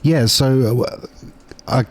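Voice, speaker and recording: A man's voice: medium pitch, takes it slowly, soft background music. A man hosting a casual livestream, talking in a slightly hesitant, informal way. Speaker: show host.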